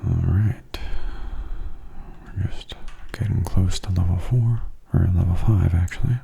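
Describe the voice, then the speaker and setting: A man speaks very softly in a low, creaky register, keeping his tone quite level. He murmurs gentle, unhurried game commentary in ASMR style, often dropping into a whisper. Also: fairly even intonation. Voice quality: hushed, soft voice slipping into whispers. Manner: gentle, unhurried, casual musing. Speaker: gameplay video host.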